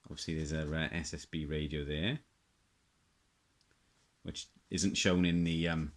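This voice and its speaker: A middle-aged man giving a casual, unscripted show-and-tell, halting and musing as he repeats himself. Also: rumbling low, steady tone. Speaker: hobbyist presenter.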